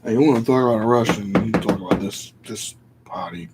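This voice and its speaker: A man's voice: fairly low pitch, fast talker, very noisy. Rambling man ranting to camera. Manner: opinionated, rambling, self-correcting. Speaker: vlogger. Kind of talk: casual rambling rant to camera.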